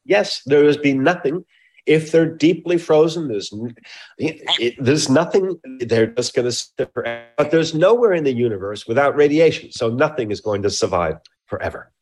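A man with a mid-pitched voice speaks as an expert guest in a science interview. He explains things in technical terms, choosing precise words and stressing key points. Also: expressive, normal speed, a little mellow, poor audio.

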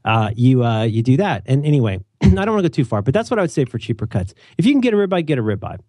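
A man hosting a casual chat, animated and opinionated, joking often and restarting his sentences. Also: medium pitch, fast, dry recording. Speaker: podcast host.

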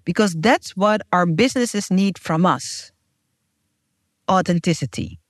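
A woman's voice: fairly low pitch, expressive, unhurried, no room sound, clean studio sound. Earnest woman. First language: Dutch. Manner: earnest, heartfelt, emphatic. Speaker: podcast host and guest. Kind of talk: scripted podcast intro and casual chat.

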